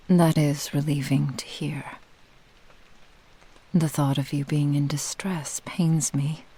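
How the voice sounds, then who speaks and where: A woman playing a possessive, doting fox-spirit witch in an intimate ASMR roleplay, teasing in formal old-fashioned phrasing and often dropping into breathy whispers. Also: sits low, steady tone, slow pace, long pauses, mellow, full character voice, rough recording. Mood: possessive, soothing. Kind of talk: ASMR roleplay, intimate scripted monologue to the listener.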